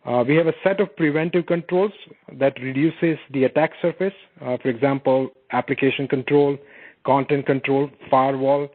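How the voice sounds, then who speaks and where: Husky man presenting over a muffled phone line. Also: mid-range voice, fairly even intonation, normal speed. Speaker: security product presenter. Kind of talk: explanatory webinar product presentation. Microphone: conference call phone line.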